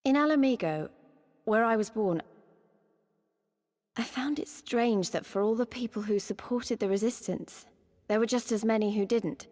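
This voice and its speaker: Woman playing earnest young rebel fighter, higher voice. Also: a little mellow, in a large hall, close to an everyday voice. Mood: troubled, pensive. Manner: heartfelt, halting, plain-spoken. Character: young female resistance fighter, earnest, passionate, self-doubting. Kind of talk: cinematic video game voice acting.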